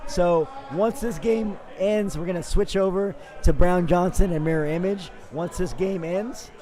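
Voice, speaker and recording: A man with a raspy voice calling live sports play-by-play, hoarse after days of talking, upbeat and quick with frequent fillers. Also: high-pitched, dark tone. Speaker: play-by-play commentator.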